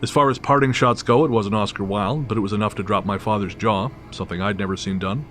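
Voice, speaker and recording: A man's voice: on the low side, steady tone, fast, a bit bright. A man reading scripted story narration in the first person, with a wry, matter-of-fact manner. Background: clearly audible music.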